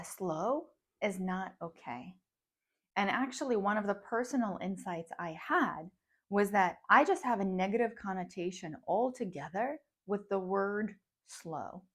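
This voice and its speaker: A woman in her thirties, a psychologist and coach whose first language is Russian, talking reflectively to camera and laughing often between thoughts. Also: medium pitch. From grew up in the United States from age ten, born abroad. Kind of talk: personal talk to camera.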